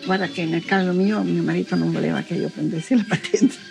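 A woman's voice: on the low side, steady tone, a little fast, creaky, over music. An older woman giving informal testimony in a hesitant, colloquial way, often repeating herself. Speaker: interviewee recalling her past.

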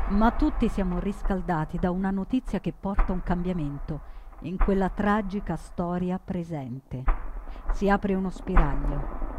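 A woman playing an earnest partisan, recounting the resistance as scripted dramatic narration in solemn, deliberate phrases. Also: lowish voice, lightly acted.

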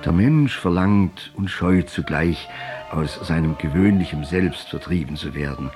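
Deep-voiced man narrating over loud music. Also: measured pace. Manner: formal, elevated storytelling. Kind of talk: literary audio drama narration.

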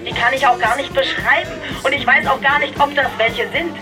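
A woman's voice: high-pitched, brisk, distant mic, natural voice. Actress doing a disoriented despairing woman monologue, radio drama, off the mic, music under it. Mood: lost, insistent. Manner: declamatory, breaks into screamed outbursts. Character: despairing, disoriented woman ranting about her relationships.

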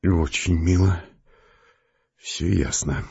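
A man's voice: sits low, slow, creaky, darkish tone, husky, dull audio, faint music behind, heavily acted. An actor playing a nervous, puzzled and touchy male scientist in an audio drama, hesitant and easily flustered. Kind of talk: Russian audio drama acting.

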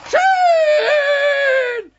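A man's voice: very high-pitched, drawn-out words, a bit bright, exaggerated voice. A man voicing a cheeky, childish little kobold in a children's radio play, with a squawky, eager, repetitive way of talking. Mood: restless, scheming. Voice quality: squawky, squealing, reedy. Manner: eager, repetitive, stammering, whining. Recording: dull, noisy vintage radio recording.

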